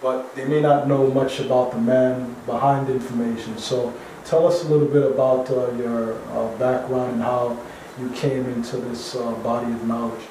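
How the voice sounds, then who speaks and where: A man's voice: mid-range voice, little pitch movement, moderate pace, warm tone. A man interviewing an author, asking long questions with many "uh" and "you know" fillers. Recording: echoey far-off room, faint noise.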